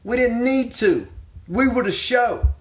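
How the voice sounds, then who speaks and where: A man's voice: very high-pitched, lively intonation, measured pace, slight background noise. A middle-aged man, speaking as a pro wrestler making his case with dramatic, emphatic repetition. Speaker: professional wrestler. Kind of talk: promotional speech answering questions.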